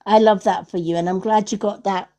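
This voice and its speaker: A woman's voice: mid-range voice, fairly even intonation, a little fast, warm tone. A Scottish woman giving casual spiritual readings on a livestream as its host, in an affirming way, often checking in with "right?". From Scotland. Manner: affirming, repeats herself, tags with "right?". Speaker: psychic medium hosting a livestream.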